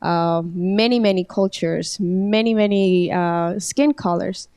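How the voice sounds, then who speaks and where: Woman with a Mexican accent, smooth voice. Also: lowish voice, steady tone, slow, a little mellow, dry recording, clean studio sound. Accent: Mexican Spanish. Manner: hesitant, many ums and restarts. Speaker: guest. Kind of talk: casual panel conversation.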